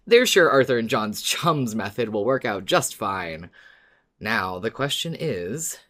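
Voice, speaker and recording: The voice sits in a middle pitch and could belong to any sex. It is a podcast co-host, informal and unguarded, going over a favourite show for fellow fans. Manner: informal, sweary, often laughing. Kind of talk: scripted recap, then casual fan discussion.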